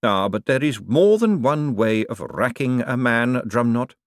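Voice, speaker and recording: A man reading aloud and voicing a cold, calculating ruler who speaks slowly with icy politeness. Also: medium pitch, lively intonation, no echo, studio quality, voice pushed up, natural voice. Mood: coolly polite. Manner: slow, measured, icily polite. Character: cold, silkily menacing male ruler, patient tyrant.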